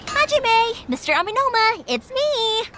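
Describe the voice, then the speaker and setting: Very high, animated cheerful girl. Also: a little slow, background noise, exaggerated voice. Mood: upbeat. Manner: chatty, animated, earnest. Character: cheerful, talkative, kind-hearted young fireworks maker girl. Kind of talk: video game character acting.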